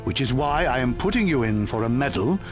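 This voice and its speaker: A man's voice: mid-range voice, very animated, fairly quick, music in the background, natural voice. An actor playing a dry-witted male military commander in a video game cutscene, with an understated, dry way of speaking. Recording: game score behind.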